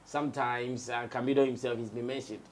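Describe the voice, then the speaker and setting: A man's voice: mid-range voice, steady tone, a little fast. A man with a Ghanaian accent giving casual commentary as a studio pundit on an entertainment show.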